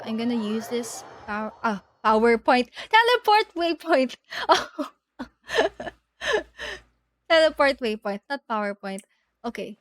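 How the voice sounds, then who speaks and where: A woman's voice: on the high side, a bit bright. A woman streamer thinking aloud in a casual, giggly way as she plays a video game live.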